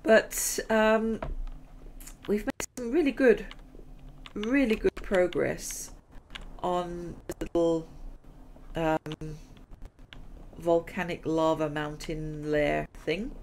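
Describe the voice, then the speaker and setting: A woman's voice: fairly low pitch, drawn-out words, a little mellow, a little hiss or hum. A middle-aged woman hosting a gaming channel, chatting in a rambling way and laughing often while she loses her voice. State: losing her voice. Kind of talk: casual chat over gameplay.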